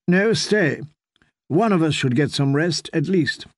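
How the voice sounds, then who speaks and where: A man's voice: on the high side, animated, measured pace, no echo, clean studio sound, voice pushed up. A man voicing a proud queen who gives orders in a cutting, commanding way. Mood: imperious. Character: imperious, scornful queen.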